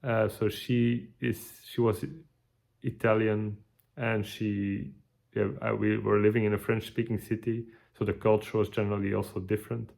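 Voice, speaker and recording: A tired man, giving a halting, casual video update with many restarts. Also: on the low side, level tone, creaky, darkish tone. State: tired, burned out. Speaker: vlogger.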